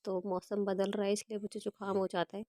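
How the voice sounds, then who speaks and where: A young woman with a hoarse voice from a cold, chatting casually as she walks through her evening kitchen routine step by step. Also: mid-range voice, flat delivery, brisk, dark tone. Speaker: home vlogger. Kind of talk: casual voice-over narration of home chores.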